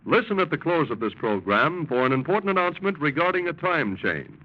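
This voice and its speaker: A man's voice: fairly high pitch, very animated, brisk. A man introducing a 1940s radio show in a dramatic, declamatory way. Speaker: radio announcer. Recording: muffled old radio broadcast with music.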